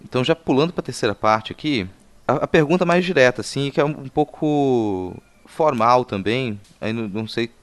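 A man hosting a casual interview, speaking in halting phrases and repeating words as he goes. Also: medium pitch, lively intonation. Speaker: podcast host.

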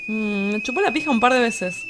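A woman's voice: on the high side, fairly quick, background noise, natural voice. A woman with an Argentine accent playing a crude, cynical young male street poet in a radio drama, blunt and teasing. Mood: crude amusement.

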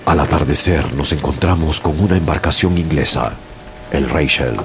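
A man narrating a whaling voyage as a sailor in a radio drama, with a deep, gravelly voice. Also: moderate pace, noisy background. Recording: radio drama with music bed.

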